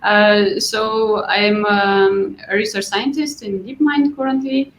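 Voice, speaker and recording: A woman from Romania, a research scientist answering panel questions in a thoughtful way, often pausing and repeating words. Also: medium pitch, steady tone. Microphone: video call. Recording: echoey, muffled line with faint noise.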